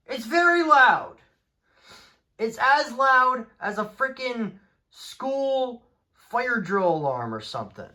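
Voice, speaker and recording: A man's voice: a little high, bright, forceful tone. A man chatting informally and off the cuff as he presents a hobby collection, rambling and easily exasperated. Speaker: hobbyist video creator presenting a radio collection. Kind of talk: casual unscripted show-and-tell chat.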